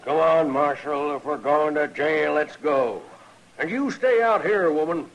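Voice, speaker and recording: An American actor playing a surly, defiant rancher, gruff and belligerent, in an old-time radio western. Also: male voice, a little high, measured pace, muffled recording, a little hiss or hum. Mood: defiant, threatening.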